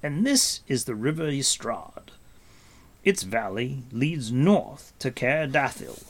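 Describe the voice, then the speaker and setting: A man voicing a wise, commanding warrior in a storybook, grave and patient, speaking formally and with deliberate emphasis. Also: medium pitch, sing-song, pitched above the performer's own voice, exaggerated voice. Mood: grave, instructive.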